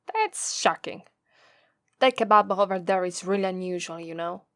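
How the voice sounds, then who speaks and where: Slow, taunting Italian demoness, acted by a woman. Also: mid-range voice, fairly bright tone, dry recording. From Italy. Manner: slow, drawling, taunting, playful menace. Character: gloating, teasing female demon captor who loves kebab and brew. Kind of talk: ASMR roleplay voice acting.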